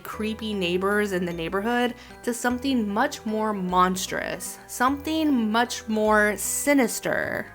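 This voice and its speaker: A woman giving scripted video commentary in a conversational storytelling way, with expressive emphasis. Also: medium pitch. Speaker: host reviewing an animated horror film. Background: background music.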